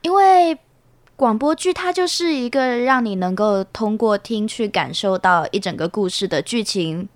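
A young woman gives casual, explanatory answers as a student guest in an interview. Her voice sits at an average pitch and is recorded dry, with hardly any room. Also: lively intonation, moderate pace, no echo. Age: young adult.

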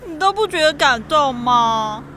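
A woman's voice: high voice, animated, measured pace, sharp tone, slight background noise, exaggerated voice. A young woman playing a teasing, caring teenage schoolgirl in an audio drama, speaking Taiwanese-accented Mandarin. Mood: tearful, defensive. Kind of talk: amateur audio drama acting. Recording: roomy echo under music. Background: music.

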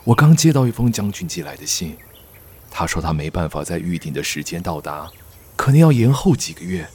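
A man's voice: low-pitched, very animated, fairly quick, a little mellow, slight background noise. A man playing a grave middle-aged aristocrat in a radio drama, with solemn, theatrical delivery. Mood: grave, troubled. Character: grave middle-aged aristocratic father, reading a grieving letter. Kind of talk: Mandarin radio drama acting.

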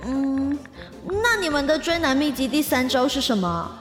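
Casting: high-pitched young female office worker, lovestruck and easily flustered, hesitant, echoing room with music behind. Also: expressive, normal speed. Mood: panicked. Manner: hesitant, full of little interjections. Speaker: voice actor in radio drama. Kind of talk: modern urban romantic comedy radio drama acting. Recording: roomy reverb, music behind.

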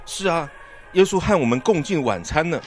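A man's voice: fairly high pitch, animated, moderate pace, faint music behind, close to an everyday voice. An actor playing an earnest, friendly village man and disciple in a radio Bible drama, speaking in a hearty, theatrical way. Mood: earnest, excited.

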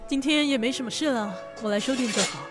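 A woman's voice: high-pitched, quick pace, faint music behind, lightly acted. An actress playing a kindly, chatty female cake shop manager in an audio drama, with a Taiwanese accent. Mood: kindly, curious.

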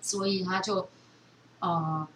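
A woman's voice: fairly low pitch, fairly even intonation, slow, fairly bright tone, reverberant. A woman with a Taiwanese accent chatting casually as a podcast host, thinking aloud in a rambling way. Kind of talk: casual chat. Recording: distant mic with faint background noise.

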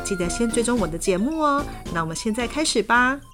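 Lively woman storyteller with music. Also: fairly high pitch, fast talker. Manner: lively, expressive. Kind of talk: children's story narration. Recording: background music.

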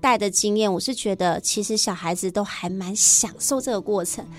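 A woman's voice: medium pitch, brisk. A woman with a Taiwanese Mandarin accent talks as a teacher being interviewed, with frequent affirmations and the odd English term. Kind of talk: conversational interview explanation.

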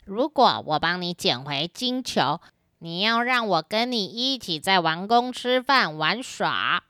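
An enchanted male frog voiced by a woman bargains plaintively in a children's radio play. The delivery is very slow and deliberate. The tone is bright and clean, recorded close and dry. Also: mid-range voice, animated, no room sound. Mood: bargaining. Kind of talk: children's audio drama.

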